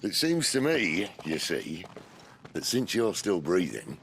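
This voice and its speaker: A man playing a gruff, wry older outlaw leader in a video game, with gravelly, unhurried speech. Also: deep voice, sing-song, noisy background, full character voice. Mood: teasing, calm. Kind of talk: video game cutscene acting.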